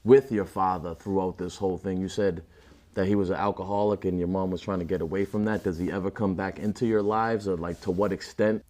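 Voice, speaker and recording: A man's voice: sits low, steady tone, brisk, darkish tone. A man hosting a podcast, asking questions in a casual conversational way. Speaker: podcast host.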